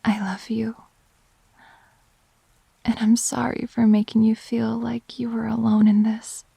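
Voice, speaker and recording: Girl acting as a sorry, grieving girlfriend in an audio drama, slow halting confession, very dry close sound. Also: fairly high pitch, monotone, creaky, dark tone, husky, no room sound, exaggerated voice. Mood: tender, remorseful. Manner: halting, tender, confessional delivery. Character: grieving, apologetic girlfriend pleading to reconcile. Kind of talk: intimate dramatic audio roleplay.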